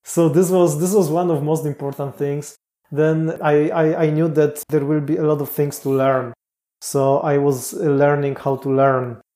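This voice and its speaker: Polish man, non-native English, warm low-key delivery, flat intonation, frequent restarts. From Poland. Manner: thoughtful, frequent restarts and pauses. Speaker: guest, Mars mission candidate. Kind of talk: interview answers.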